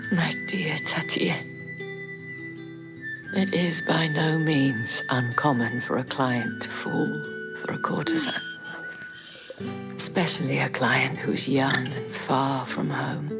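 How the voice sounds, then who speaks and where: A dignified lady of authority from a fantasy game. Her voice is remarkably deep for a woman, breathy and formally composed. Music is clearly heard behind her. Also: takes it slowly, pauses often, full character voice, poor audio. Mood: sorrowful, measured. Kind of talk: video game cutscene acting.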